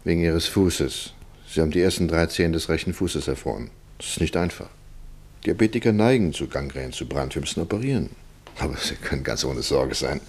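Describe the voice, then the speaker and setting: An actor playing a reassuring but evasive doctor, professional and a little hesitant, in a German radio drama. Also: male voice, rumbling low, expressive, brisk, a little mellow, breathy edge, exaggerated voice. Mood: evasive reassurance. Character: evasive, reassuring male doctor, heir to his father's practice.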